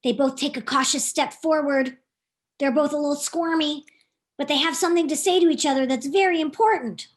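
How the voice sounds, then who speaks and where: A woman's voice: sits high, fairly quick. A woman author reading her own children's book aloud, expressively, often putting questions to young listeners. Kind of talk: animated children's storytime narration.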